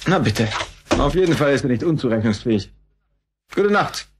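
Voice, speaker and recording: A man's voice: mid-range voice, expressive, moderate pace, background noise, natural voice. A man playing a matter-of-fact police officer in a German radio play, speaking in an official manner.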